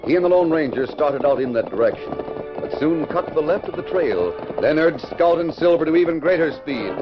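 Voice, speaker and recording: A man's voice: fairly high pitch, animated, fairly quick, close to an everyday voice. A man narrating a 1940s radio western serial in a formal, stirring storytelling style. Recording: dull, lo-fi 1940s radio recording. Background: loud music.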